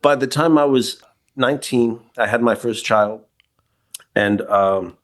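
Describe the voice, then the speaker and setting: A man's voice: medium pitch, a little slow. A man in his forties from New York City, a podcast guest telling his personal story in a reflective, candid way with frequent restarts. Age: 40s. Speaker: podcast guest, kink educator. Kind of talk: casual interview, personal story.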